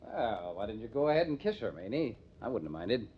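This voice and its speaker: Low-voiced hard-nosed police detective. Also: male voice, very animated, fairly quick, background noise. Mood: dry, wry. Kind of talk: old-time radio crime drama acting. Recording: dull lo-fi old radio recording.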